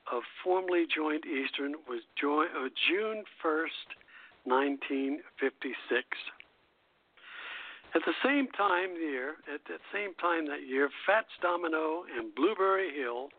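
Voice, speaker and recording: A man hosting a radio show, reading prepared music history notes in a halting way and stumbling over the text. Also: fairly high pitch, dry recording. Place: internet radio show over a phone connection. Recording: tinny, narrow phone-line radio sound.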